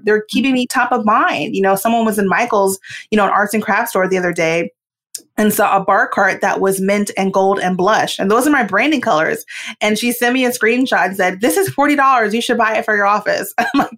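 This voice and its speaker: A woman's voice: mid-range voice, a bit bright. A woman from the United States, a guest on a casual podcast, talking about branding in an upbeat way, laughing often and acting out the reactions she quotes.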